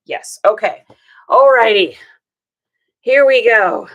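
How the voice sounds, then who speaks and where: A woman with a slightly hoarse, rough voice, hosting a casual livestream and chatting upbeat with viewers, greeting them by name. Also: a little high, expressive, takes it slowly, a bit bright, lo-fi sound. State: hoarse from singing. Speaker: reseller livestream host.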